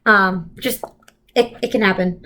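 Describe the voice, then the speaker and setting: A woman reading a children's novel aloud and pausing to explain it simply to young listeners. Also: medium pitch, fairly even intonation, pushed and bright. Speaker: volunteer reader for a children's club.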